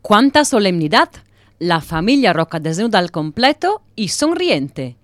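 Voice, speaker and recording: A woman's voice: medium pitch, sing-song, a little slow, no room sound. A woman narrating an audio drama with scripted, dramatic storytelling.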